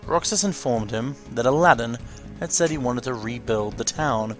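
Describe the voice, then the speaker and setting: A man with a mid-pitched voice hosting a let's play. He comments casually on game cutscenes in a tentative, thinking-aloud way, with soft music behind him. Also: moderate pace.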